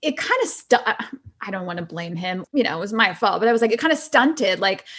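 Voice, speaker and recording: A woman's voice: mid-range voice, expressive, brisk, fairly bright tone. A woman guest on a podcast, talking casually and effusively, peppering her speech with "like" and "you know". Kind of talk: casual chat, personal reflection.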